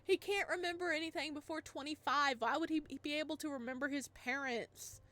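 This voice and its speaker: A woman streamer playing a visual novel, reacting excitably and laughing often. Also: sits high, fairly quick. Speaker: game streamer.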